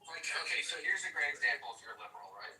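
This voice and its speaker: Blunt, fast-talking man pressing his point in a group meeting, heard from a distance. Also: a little high, expressive, bright, forceful tone. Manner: insistent, blunt, swears. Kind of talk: heated group discussion. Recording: echoey room, far from mic, faint noise.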